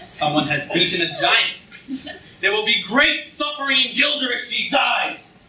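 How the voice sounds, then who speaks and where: A man's voice: high voice, sing-song, moderate pace. A man playing a pompous, haughty prince in a declamatory comic style in a staged reading. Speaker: actor in a student staged reading. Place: lecture hall stage, indoors. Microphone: far camera in audience. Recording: noisy echoey hall, distant camera mic.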